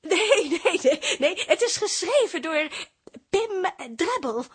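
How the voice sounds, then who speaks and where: A woman's voice: very high voice, expressive, slow pace, exaggerated voice. An actress playing an earnest, desperate amateur theatre club chairwoman, polite and gushing, in a radio comedy. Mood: flustered. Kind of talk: Dutch radio comedy drama acting.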